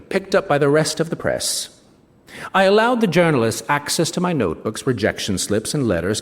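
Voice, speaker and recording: A man performs a smug, ageing male novelist telling his own story to a hall. His pitch sits mid-range but swings very widely, with wry, theatrical emphasis. Long hall reverb surrounds the live stage reading. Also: lightly acted. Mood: smug, measured.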